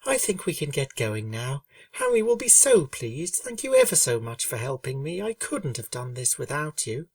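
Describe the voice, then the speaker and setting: A woman voicing a gentle, shy young female pegasus pony in a storybook reading, speaking softly and earnestly. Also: rumbling low, huge pitch swings, normal speed, vocal fry, few pauses, no echo, recorded from a distance, exaggerated voice. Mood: delighted. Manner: soft-spoken, earnest, kindly.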